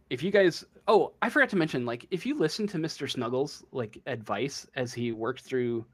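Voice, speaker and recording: A man narrating a tabletop game as game master, halting and searching for words as he improvises. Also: medium pitch.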